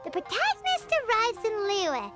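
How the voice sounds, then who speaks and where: A tiny game sidekick girl with an extremely high, childlike voice. She is chirpy and eager, her exclamations swinging widely up and down. Music plays prominently behind her. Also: moderate pace, heavily acted. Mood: perky, eager. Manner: excitable, exclamatory. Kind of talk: video game voice acting.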